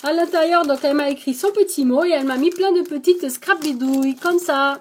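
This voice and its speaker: A woman's voice: sits high, fairly quick. A woman with an accent, hosting a craft channel, casually showing the crafts she received and thanking the senders, with frequent hesitations. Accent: non-native French accent. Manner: chatty, lots of hesitations and "donc". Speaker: scrapbooking channel host. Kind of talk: casual show-and-tell of craft mail.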